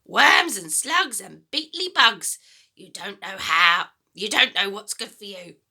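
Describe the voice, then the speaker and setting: A woman voicing a sinister, gleeful old grandmother from a children's storybook, throaty and whispery, with a drawn-out, menacing delivery. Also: medium pitch, sing-song, sharp tone, slightly rough, exaggerated voice. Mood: gleeful relish. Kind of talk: dramatic children's storybook reading.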